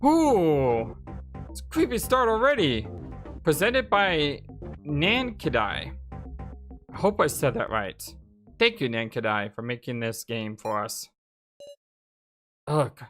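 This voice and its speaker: A woman's voice: sits low, slow pace, pauses often, faint music behind. A woman giving casual, chatty gaming commentary as she plays and reads a game aloud. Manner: animated, chatty. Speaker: let's play host reading the game aloud.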